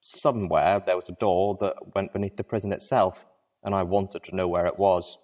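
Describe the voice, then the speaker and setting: A man's voice: sits low, fairly even intonation, normal speed, a little mellow. A man reading a story aloud as narrator, in a dramatic first-person style.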